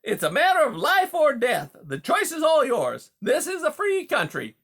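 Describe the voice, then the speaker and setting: A huge male beaver from a storybook, pitched high and swooping widely. He is a pushy, smooth-talking bully, brash and folksy, and he bulldozes through every conversation. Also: huge pitch swings, normal speed, a bit bright, full character voice. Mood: falsely polite menace. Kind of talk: audiobook character dialogue.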